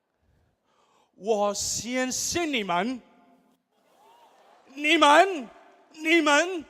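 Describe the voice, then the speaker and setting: A man in his thirties giving an energetic motivational speech at a large event, English not his first language, swinging from quiet asides to loud calls to the crowd. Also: very high voice, extremely slow. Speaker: guest speaker.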